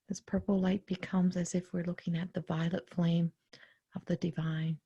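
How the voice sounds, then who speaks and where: A woman leading a guided meditation in a soft, breathy, often near-whispered voice, gently and without hurry, pausing between short phrases. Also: lowish voice, no room sound, clean studio sound. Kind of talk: guided meditation, soothing spoken instruction.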